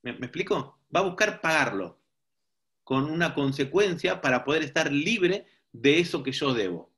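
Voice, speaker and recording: Higher-voiced man teaching, reflective. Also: lively intonation, normal speed, a bit bright. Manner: reflective, checks in with rhetorical questions. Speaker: seminar teacher. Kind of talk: informal teaching talk to a group.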